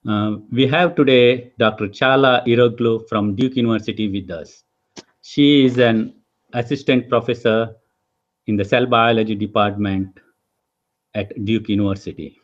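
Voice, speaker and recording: A man with a lowish voice hosts an interview, speaking hesitantly and leaning on fillers as he asks his questions. His sound is dull and lo-fi, as over a video call. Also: steady tone, normal speed. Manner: hesitant, many fillers, frequent listener backchannels. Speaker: host. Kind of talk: interview host.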